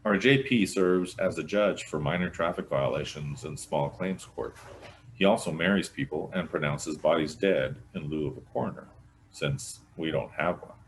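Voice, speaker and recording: A man's voice: deep voice, normal speed, a little hiss or hum, rough recording. A man reading a mystery novel aloud as a scripted narration, in the manner of a volunteer storyteller. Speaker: volunteer audiobook reader.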